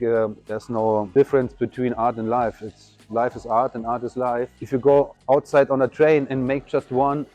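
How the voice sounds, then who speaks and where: Mellow-voiced man in his fifties. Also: mid-range voice. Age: 50s. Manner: reflective, halting, non-native phrasing. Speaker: graffiti artist. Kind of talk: interview answers. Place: at an art show.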